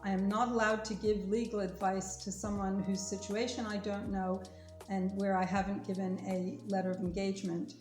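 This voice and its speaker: A woman's voice: medium pitch, level tone, normal speed, a little mellow, echoey room, faint music behind. A woman lawyer speaking to viewers in an earnest, careful way, urging them to listen to everything she explains. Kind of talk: informational talk to viewers.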